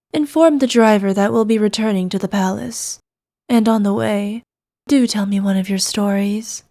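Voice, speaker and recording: A woman's voice: a little high, dark tone. A woman playing an evil, imperious queen in a scripted roleplay, speaking in a haughty, formal, commanding manner. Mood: pleased, composed. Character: evil imperious queen, cruel to subjects, doting on her knight. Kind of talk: scripted ASMR roleplay acting.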